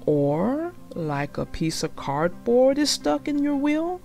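A woman's voice: high voice, big pitch swings, slow, warm tone, soft background music, voice pushed up, heavily acted. A woman voicing a blunt, mocking young fox in a children's storybook. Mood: teasing.

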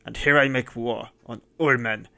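A man's voice: mid-range voice, steady tone, measured pace, creaky, pushed and bright, full character voice. A man voicing a mad, vengeful male innkeeper who roars and laughs wildly. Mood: raving triumphant. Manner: roaring, laughing wildly, mumbling.

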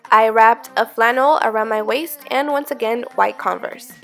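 A woman's voice: fairly high pitch, normal speed, vocal fry, soft background music. A young woman fashion vlogger talking casually to camera in a small bedroom, upbeat and chatty, her voice a little off from being sick. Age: young adult. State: sick, voice a bit off. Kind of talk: casual vlog talk to camera. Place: small bedroom with string lights, indoors.

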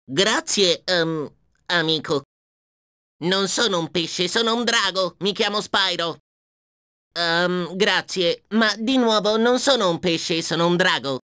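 A woman's voice: on the low side, slow pace, a bit bright, no echo. A woman voicing a plucky, cheeky young male dragon hero in a video game, self-assured and wisecracking, close on a dry studio mic. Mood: casual, cheeky. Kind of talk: video game character acting. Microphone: close studio microphone, dry.

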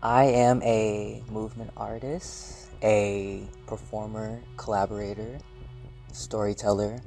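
A man's voice: fairly low pitch, little pitch movement, takes it slowly, gravelly, mellow, faint music behind. A young man from St. Louis, a movement artist speaking earnestly and passionately about dance. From St. Louis, Missouri. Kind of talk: reflective interview talk.

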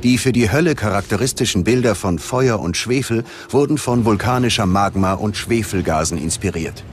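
A man's voice: on the low side, normal speed, slight background noise. A man reading scripted documentary narration in a dramatic, ominous manner. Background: dramatic music.

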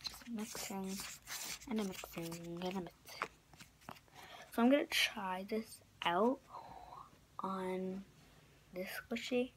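A young woman with a raspy, often whispery voice, rambling through a casual craft tutorial with lots of fillers and restarts, filmed close up on a phone camera in her bedroom. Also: mid-range voice, slow pace, pauses often. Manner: rambling, filler-heavy, self-correcting. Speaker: craft vlogger. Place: small bedroom indoors. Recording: noisy home recording.

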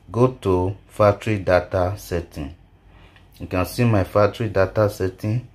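A man narrating a step-by-step phone tutorial as a video host, halting and repeating himself. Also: low voice, mellow. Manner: halting, repetitive delivery.

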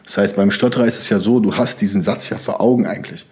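A man's voice: lowish voice, fast talker, darkish tone. A young man from Germany, speaking as a podcast guest in loose, rambling sentences full of fillers. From Germany (Sauerland). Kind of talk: casual interview talk.

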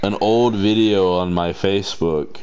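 A young man with a fairly deep voice, chatting casually and rambling while playing a game, slangy and self-mocking. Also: fairly even intonation, a little slow, darkish tone, a little hiss or hum. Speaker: gaming channel co-host.

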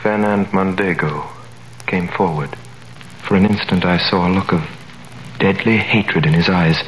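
An earnest young sailor narrating. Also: male voice, low voice, measured pace, slight background noise. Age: young adult. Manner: earnest, emphatic. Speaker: narrator and hero. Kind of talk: 1930s radio drama acting and narration. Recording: echoey old radio recording.

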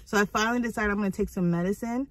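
A young woman vlogging from a parked car, hoarse from a cold, chatting in an animated storytelling way. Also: medium pitch, very fast, dry recording. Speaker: vlogger mother. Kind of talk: casual vlog chat. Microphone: phone held at arm's length.

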